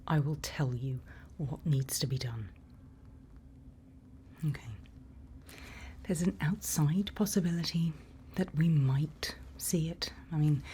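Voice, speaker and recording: Female, low soft whisper for ASMR roleplay; slow, reassuring guide, faint ambient noise. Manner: gentle, unhurried, reassuring instruction. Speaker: ASMR roleplay performer playing a night watch guide.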